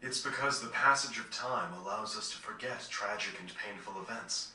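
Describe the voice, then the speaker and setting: Thoughtful game gentleman, grave, formal, reflective, lowish pitch, sharp edge, roomy capture. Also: normal speed. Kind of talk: dramatic video game cutscene acting. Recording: roomy, distant game capture with faint noise.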